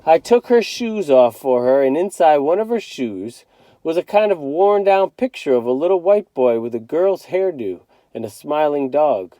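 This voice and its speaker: A man reading a children's novel aloud as a teacher would, in an expressive storytelling style. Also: a little high, moderate pace, dry recording, rough recording.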